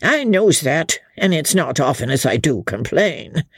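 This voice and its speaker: A man voicing Mister Hempseed, a doleful old male villager, who speaks in a slow, mournful drawl. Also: on the high side, sing-song, slightly rough, no echo, clean studio sound, full character voice. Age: 70s.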